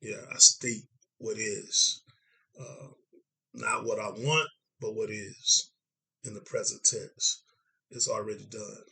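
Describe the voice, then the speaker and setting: A man from Dallas, Texas, hosts a relaxed podcast conversation in a hoarse, gravelly voice with a bright, forward edge. He speaks reflectively, pausing often to find his words. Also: on the low side, low-quality recording. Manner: reflective, searching for words with frequent pauses. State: hoarse from congestion. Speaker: podcast host. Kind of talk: casual conversation.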